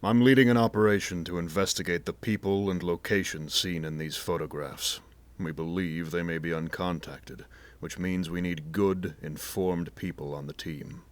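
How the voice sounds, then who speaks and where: An actor playing an authoritative, unyielding navy admiral in an audio drama, formal and insistent. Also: male voice, low-pitched, fairly even intonation, moderate pace, lightly acted. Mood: firm, businesslike.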